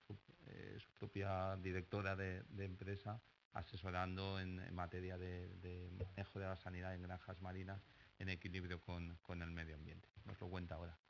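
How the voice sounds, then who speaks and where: A man in his forties, a moderator introducing a speaker, halting and repeating words as he searches for them, at a panel desk mic. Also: deep voice, completely flat delivery, creaky, slight background noise, low-quality recording. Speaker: session moderator. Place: large university lecture hall. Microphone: table microphone at a panel desk.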